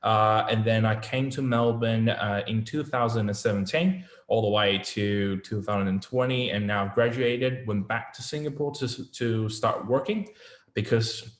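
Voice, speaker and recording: A man in his twenties from China, giving a casual online talk as an alumni guest speaker. He speaks haltingly and thinks aloud as he goes. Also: on the low side, roomy sound. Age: 20s. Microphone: video call.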